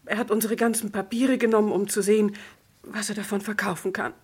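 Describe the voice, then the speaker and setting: A woman acting as a harried wife in an old radio crime play. Her mid-pitched voice is breathy and husky. She sounds agitated, weary and plaintive. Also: fairly even intonation, brisk, a little mellow, lightly acted. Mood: weary, resentful. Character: flustered, weary, put-upon wife of French businessman. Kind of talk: German radio crime drama acting, mid twentieth century.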